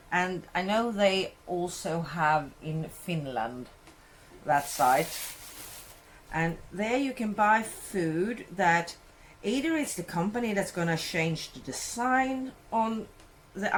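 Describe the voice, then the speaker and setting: A Swedish woman speaking English with a Swedish accent, talking slowly and unhurriedly over her kitchen work. Her voice sits a little low, and she wanders and corrects herself as she chats. There is a faint hum of the room behind her. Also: poor audio. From Sweden. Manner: rambling, self-correcting, chatty. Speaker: cooking vlogger. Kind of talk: casual cooking chat. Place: home kitchen, indoors.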